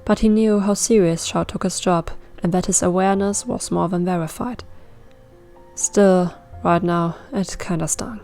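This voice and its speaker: A woman's voice: lowish voice, normal speed, a little mellow. A woman reading fan fiction aloud as scripted story narration. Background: background music.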